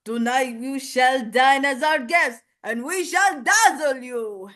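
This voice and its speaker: A woman performs a grandiose French showman greeting a guest in a castle. The voice sits fairly high and takes its time. The delivery is theatrical, lively and welcoming, like a character rehearsal. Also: slow pace, exaggerated voice. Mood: showy, excited. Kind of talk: acted character line in a voice lesson.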